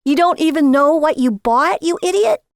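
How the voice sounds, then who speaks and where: A woman's voice: sits high, animated, moderate pace, dry recording, clean studio sound, pitched above the performer's own voice. An exasperated wife from an audio story, acted by a woman reader. She nags and scolds in a raised, swooping voice, dripping with sarcasm and scorn for her husband. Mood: scornful.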